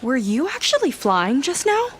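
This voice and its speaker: An actress playing a bold young scout in a video game, speaking in a brisk, assertive manner. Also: female voice, sits high, huge pitch swings, slight background noise. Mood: brisk, alert. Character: bold young woman warrior, brisk, self-assured scout. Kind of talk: naturalistic video game cutscene acting.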